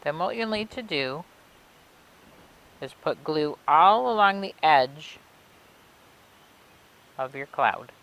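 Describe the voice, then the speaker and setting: A woman reading and explaining things for children in an expressive way, with simple, clear phrasing, like a children's librarian. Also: sits low, takes it slowly, pauses often, poor audio. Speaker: children's librarian reading a story and demonstrating a craft. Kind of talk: read-aloud storytelling and craft instructions for children.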